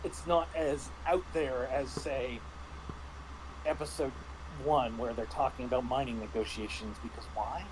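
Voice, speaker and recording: Halting man chatting over background noise. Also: mid-range voice, steady tone. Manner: halting, with repeated words and false starts. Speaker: panelist reviewing a tabletop roleplaying game. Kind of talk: casual discussion and review.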